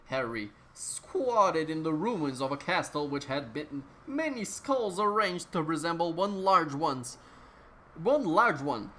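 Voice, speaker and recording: A woman's voice: sits low, big pitch swings, slow, fairly bright tone, a little hiss or hum. A woman narrating absurd comic fiction as a dramatic reading, in a mock-serious manner. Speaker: narrator, reader.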